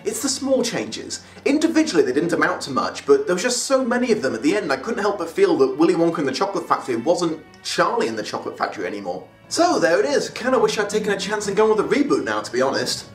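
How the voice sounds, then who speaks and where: A man's voice: high-pitched, very animated, fast talker, few pauses, soft background music. A young man hosting a scripted comedic review, sarcastic and prone to bursts of mock outrage. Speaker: video essay host.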